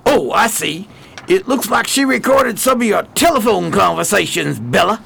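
An actor playing a tough, blustering crooked detective in a 1920s crime radio drama, with gruff, slangy talk. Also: male voice, sits high, animated, normal speed, pushed and bright, heavily acted. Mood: surprised, angry.

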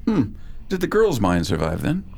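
Man acting a proper, animated country doctor. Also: lowish voice, moderate pace, gravelly, full character voice. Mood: polite, curious. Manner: formal, hesitant. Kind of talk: period audio drama acting.

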